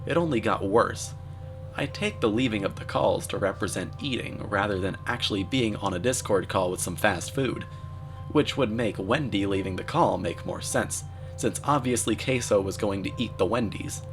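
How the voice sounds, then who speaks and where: Deadpan low-voiced man narrating over music. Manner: deadpan, mock-serious. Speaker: narrator, video commentator. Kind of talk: scripted commentary narration.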